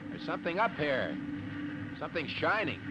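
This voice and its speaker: An American actor playing a bold, focused rocket test pilot in a 1950s radio drama. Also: male voice, on the high side, very animated, normal speed, muffled recording. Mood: excited. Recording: very noisy old radio, cockpit transmission.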